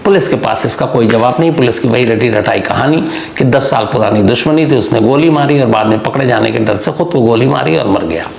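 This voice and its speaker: Guy with a creaky gravelly voice narrating a crime case, asks rhetorical questions, roomy echo. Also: mid-range voice, expressive, darkish tone. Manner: deliberate, poses rhetorical questions to the listener. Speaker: true-crime storyteller and host. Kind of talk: true-crime storytelling monologue, explanatory. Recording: echoey room with faint background noise.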